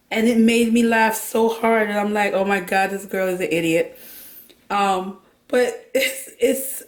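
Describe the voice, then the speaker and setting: A woman with a hoarse, worn voice and a Jamaican accent, chatting casually as a vlog host and laughing now and then. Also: medium pitch, reverberant. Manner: laughs often, relaxed chatty talk. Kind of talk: casual chat about books and cooking.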